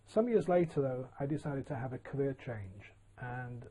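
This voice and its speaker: Mellow-voiced older man from Yorkshire. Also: medium pitch, normal speed, husky. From Yorkshire, England. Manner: relaxed, plain-spoken, with frequent ums and uhs. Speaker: business founder telling his story. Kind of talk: casual autobiographical monologue.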